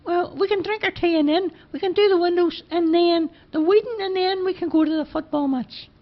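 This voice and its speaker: A man voicing an excitable, impatient young man with a squeaky, hurried voice. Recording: dull lo-fi recording.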